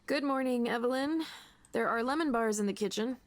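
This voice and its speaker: A woman's voice: fairly high pitch, a little fast, no echo, lightly acted. A woman acting an earnest, idealistic tech worker in a visual novel game, speaking in a conversational, impassioned way. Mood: gently friendly. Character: earnest, idealistic woman defending her mental-health tech work. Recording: music behind the voice.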